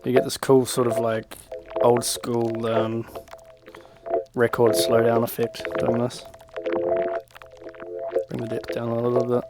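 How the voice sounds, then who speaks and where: Young man talking over loud synth music. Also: medium pitch, completely flat delivery, unhurried, long pauses, low-quality recording. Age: young adult. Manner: informal, loosely improvised, thinking aloud while working. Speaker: music production tutorial host. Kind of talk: casual screen-recorded software tutorial. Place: indoor room at home. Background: loud synth and beat playback.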